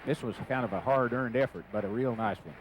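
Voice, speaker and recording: A man's voice: medium pitch, quick pace, music in the background. An American man giving folksy, conversational color commentary on a live football radio broadcast. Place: outdoor football stadium broadcast. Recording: noisy stadium radio broadcast.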